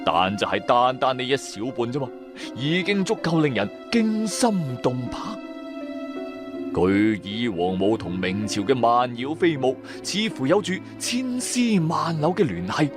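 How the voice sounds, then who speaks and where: A man with a Cantonese accent narrating a supernatural radio drama in the first person, dramatic and colloquial. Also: medium pitch.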